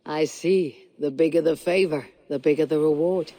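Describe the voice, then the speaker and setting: Brief: actor as a bold Viking raider, blunt and dry, mid-pitched voice. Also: fairly even intonation, normal speed, warm tone, natural voice. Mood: confident. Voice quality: blunt, confident, dryly witty. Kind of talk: video game cutscene acting.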